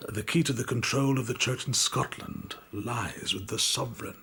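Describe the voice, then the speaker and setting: A man's voice: mid-range voice, normal speed, bright, forceful tone. An actor playing a learned, deferential churchman in a radio drama, speaking carefully with courteous hesitation. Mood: measured, hesitant. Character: deferential, learned churchman advising an ailing pope.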